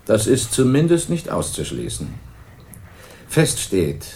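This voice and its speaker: An actor playing a calm, formal police investigator in a radio crime drama, measured and official. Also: male voice, medium pitch, slight background noise, exaggerated voice. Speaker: police detective.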